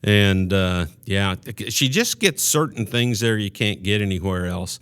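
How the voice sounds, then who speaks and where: A man's voice: lowish voice, expressive, unhurried, fairly bright tone, clean tone. A man co-hosting a podcast, speaking in loose casual chat. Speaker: podcast co-host.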